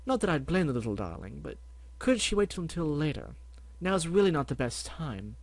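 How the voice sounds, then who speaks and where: A woman's voice: sits low, big pitch swings, brisk, darkish tone, no room sound, pitched above the performer's own voice, heavily acted. Man doing a fretful dramatic unicorn lady. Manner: fretful and theatrical.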